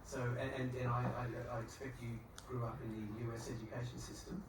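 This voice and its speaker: A man's voice: mid-range voice, little pitch movement, a little fast. A man moderating a panel, stammering and restarting as he puts a question. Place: conference room. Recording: very echoey conference hall from far off, loud noise.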